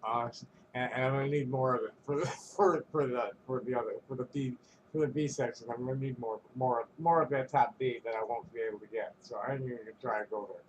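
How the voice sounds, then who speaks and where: A man's voice: mid-range voice, fairly even intonation, fast, slight background noise, poor audio. A man ranting to camera in a casual vlog, rambling and profane, stumbling over restarts and chuckling between phrases. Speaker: vlogger.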